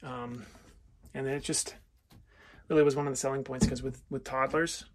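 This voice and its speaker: A man's voice: medium pitch, fairly even intonation, moderate pace. A man giving a casual, off-the-cuff walk-through tour in an informal, self-deprecating way, recorded on a handheld device inside a small vehicle. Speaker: vlogger and owner giving a tour of a vintage motorhome. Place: inside a small vintage motorhome. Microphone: handheld phone or camera, held by the speaker. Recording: noisy handheld recording with clicks.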